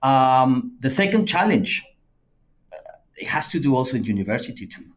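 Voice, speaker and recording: A middle-aged man with a Peruvian Spanish accent, a native Spanish speaker, recounting his past as a podcast guest. He talks in a lively, anecdotal way at a medium pitch. His stories are full of repeated phrases for emphasis. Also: moderate pace. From Peru. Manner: lively anecdotal telling, acts out quoted friends in a higher voice. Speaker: podcast guest, company CEO. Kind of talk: conversational storytelling interview.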